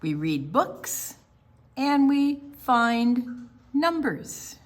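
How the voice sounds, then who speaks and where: An older woman co-hosting a children's storytime, explaining and reading aloud deliberately, with emphasis on single words. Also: fairly high pitch, sing-song. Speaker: children's storytime co-host and reader.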